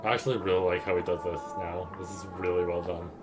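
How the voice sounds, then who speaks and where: A man's voice: deep voice, little pitch movement, fast, music in the background. A man casually commenting while he watches a horror video on stream, reacting nervously to what he sees. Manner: jumpy, anxious reactions. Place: room at home with a second monitor.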